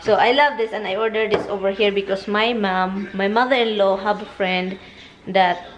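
A woman's voice: mid-range voice, steady tone, moderate pace, noisy background. A woman in her thirties from the Philippines with a Filipino accent, chatting casually in a vlog, rambling and slipping in Filipino words, filmed on a camera held at arm's length at home and in her car. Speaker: vlogger. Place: home, then inside a car. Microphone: handheld camera at arm's length.

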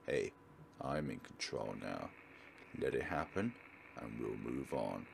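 A man's voice: rumbling low, animated, takes it slowly, vocal fry, a little hiss or hum, voice pushed down, full character voice. A man voicing a predatory, controlling male school teacher from a visual novel, with an insinuating, menacing manner. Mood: quietly menacing. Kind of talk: dramatic visual novel reading.

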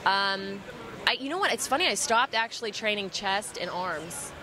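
A woman's voice: mid-range voice, expressive, a crowd in the background. A young woman being interviewed at a busy expo on a handheld mic, joking and laughing as she talks. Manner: playful, joking, quick to laugh. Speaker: bikini competitor guest. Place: busy expo hall, indoors. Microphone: handheld mic held by interviewer.

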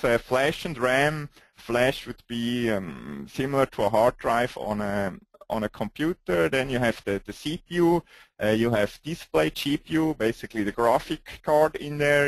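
A man with a strong Swiss accent presenting a company webinar, pausing often with "uh" fillers. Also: mid-range voice, no echo. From Switzerland. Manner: hesitant, frequent "uh" fillers, non-native phrasing.

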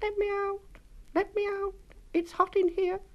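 A man's voice: very high-pitched, flat delivery, a little slow, muffled recording, pitched above the performer's own voice. A man voicing a tiny, cheeky gingerbread boy in a children's storybook, calling out in a sing-song way. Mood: pleading.

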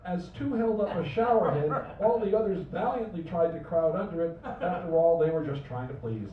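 A man's voice: on the high side, moderate pace, slight background noise. Older man reading poetry theatrically in a cafe. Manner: theatrical, chanting quoted slogans, dropping to near whispers. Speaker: open mic poet. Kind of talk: poetry reading at an open mic. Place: small cafe at night, indoors. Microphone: stand mic from a far camera. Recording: roomy, distant, dull livestream audio.

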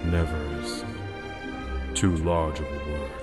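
An actor in an audio drama playing a repentant dark lord, a father's ghost, speaking gravely and formally with earnest pleading. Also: rumbling low, flat delivery, slow pace. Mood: grave, remorseful. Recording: music loud behind the voice.

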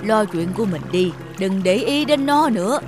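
Old mountain spirit, cartoon fable, stern, commanding, grumbling, protective, music behind. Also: male voice, sits high, lively intonation, normal speed. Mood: angry, dismissive. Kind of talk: dubbed children's cartoon fable acting.

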